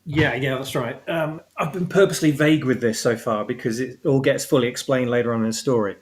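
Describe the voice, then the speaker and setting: A man's voice: mid-range voice, brisk. A man discussing his own story as a guest writer, speaking casually and hesitantly as he searches for words. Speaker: guest writer of a fan audio drama.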